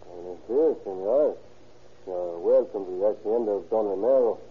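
A man playing a courteous, unhurried Mexican ranch owner in an old radio drama, speaking halting English with a Mexican accent and bits of Spanish. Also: lowish voice, breathy edge. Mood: courteous, amused. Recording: tinny band-limited old radio recording.

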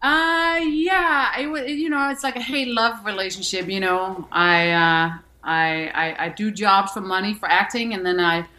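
A woman's voice: mid-range voice, unhurried, few pauses, sharp tone. A woman from Denmark, an actress and musician, answering interview questions candidly with many restarts and fillers.

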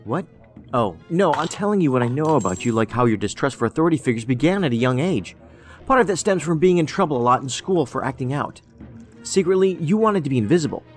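A man's voice: medium pitch, animated, quick pace, faint music behind, close to an everyday voice. Rambling, quirky male agent, acted by a man. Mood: blunt, analytical. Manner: rambling, pedantic, matter-of-fact. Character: quirky, rambling, tactless male federal agent, overanalytical oddball. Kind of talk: audio drama acting.

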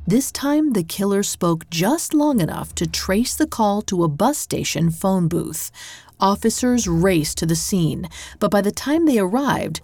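A woman hosting a podcast, reading scripted true-crime narration in a measured, dramatic storytelling manner. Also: mid-range voice, lively intonation, darkish tone, no echo. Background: music.

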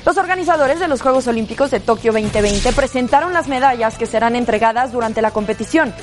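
A woman, a sports news anchor reading headlines in a measured, scripted way. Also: on the high side, lively intonation, faint music behind.

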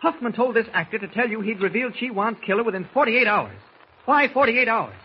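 An actor playing an eager, quick-witted newspaper reporter, brisk and decisive, in 1940s radio drama style. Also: male voice, high-pitched, sing-song. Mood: urgent reasoning. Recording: muffled old radio recording, faint noise.

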